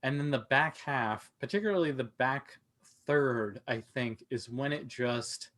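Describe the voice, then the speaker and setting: A man on a podcast panel, talking casually and a little haltingly as he thinks aloud. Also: medium pitch, a bit bright. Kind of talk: casual group discussion.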